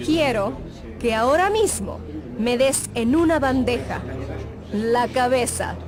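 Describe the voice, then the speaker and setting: A bold princess girl from a scripture drama. The voice is on the high side and theatrical, each word placed slowly over clear background music. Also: expressive. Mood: cold, demanding. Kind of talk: dramatized audio Bible acting.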